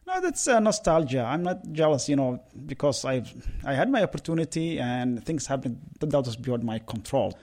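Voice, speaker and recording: A man in his forties from Somalia recounts his life in a reflective, thoughtful way. His speech is dotted with hesitant pauses and fillers, and his voice sits at an everyday middle pitch. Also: sing-song, darkish tone. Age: 40s. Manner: reflective, many filler uhs. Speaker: interviewee, former pilot. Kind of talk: recorded personal account.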